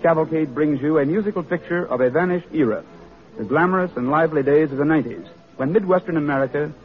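A man reading florid, formal scripted narration for a 1940s radio program. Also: a little high. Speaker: program historian, presenter. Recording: tinny vintage radio broadcast, faint music.

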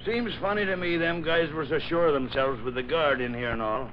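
Man playing a gruff high-pitched radio police sergeant. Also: brisk, lightly acted. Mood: gruff, suspicious. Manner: blunt, colloquial, bossy. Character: gruff suspicious male police sergeant questioning suspects. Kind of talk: 1940s radio acting. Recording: muffled, noisy old radio recording.

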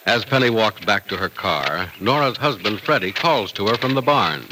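Animated old-time radio announcer, a man. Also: fairly low pitch, normal speed, background noise.

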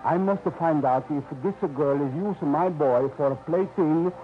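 A man's voice: fairly high pitch, moderate pace, faint music behind, natural voice. A man playing a fretful old Italian dad. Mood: anxious. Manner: anxious, pleading, broken English. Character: worried old Italian immigrant father, amusement park owner. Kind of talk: 1940s radio acting. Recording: muffled old radio recording.

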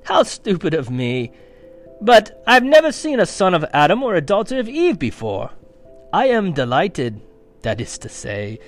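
A man voicing a polite, fussy male faun who is shy and excitable, formal and flustered, repeating himself. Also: sits high, a little slow, faint music behind, pitched above the performer's own voice, exaggerated voice. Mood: excited.

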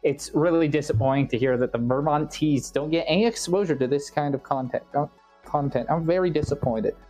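A man's voice: fairly high pitch, fairly quick, a little mellow, faint music behind. A man hosting a live stream, chatting casually with mock-serious, indignant banter.

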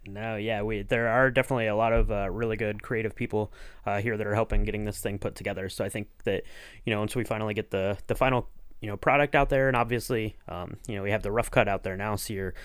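Man, podcast host, lowish pitch, casual interviewing, rambling phrasing, dry sound. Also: fairly even intonation, fast talker, clean tone, no echo. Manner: rambling, circling through long sentences.

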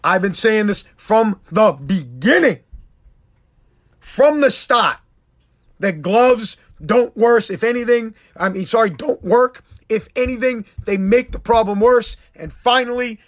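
A middle-aged man with a hoarse, worn-out voice, rambling bluntly as he hosts his podcast. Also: high-pitched, animated, a little slow. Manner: rambling, blunt, self-deprecating. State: hoarse, lost voice. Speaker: podcast host. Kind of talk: casual solo podcast talk.

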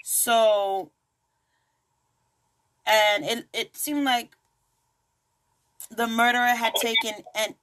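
A woman's voice: fairly high pitch, steady tone, very slow, long pauses, fairly bright tone. A woman hosting a true crime podcast in a casual, chatty way, full of asides and jokes. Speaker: podcast host.

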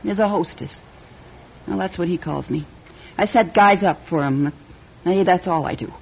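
An actress playing a tough, streetwise young hostess who talks bluntly in slang, in an old-time radio detective drama. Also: female voice, lowish voice, moderate pace, gravelly, a little mellow, slightly rough. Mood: frank. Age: young adult. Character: tough, streetwise young nightclub hostess, guarded but wounded. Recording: dull radio recording, music behind.

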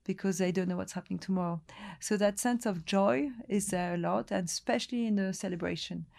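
Gravelly-voiced middle-aged woman. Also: medium pitch, brisk, a little mellow. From Lebanon. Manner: hesitant, many restarts and fillers, chatty storytelling. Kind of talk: relaxed interview conversation.

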